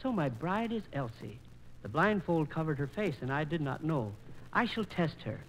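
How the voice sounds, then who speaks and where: An actor playing a sly, ardent disguised bridegroom in a 1940s radio operetta, with theatrical archaic delivery. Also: male voice, fairly high pitch, big pitch swings, normal speed, a little hiss or hum, poor audio. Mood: scheming. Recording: old 1940s radio transcription disc.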